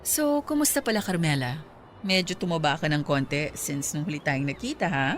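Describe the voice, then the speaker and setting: A woman's voice: deep voice, animated, normal speed, faint music behind. A woman playing a haughty, coquettish older rich employer in a Filipino radio drama, with affected socialite airs and a Filipino accent. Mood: condescending. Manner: affected socialite Taglish, condescending.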